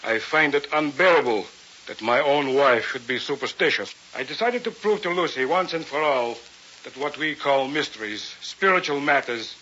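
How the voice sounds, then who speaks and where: A male actor plays an arrogant man of science in an old radio play, lecturing pompously and coldly. His medium-pitched voice has a bright, forceful edge. The recording is dull and faintly hissy. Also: moderate pace, lightly acted. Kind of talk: vintage radio drama acting.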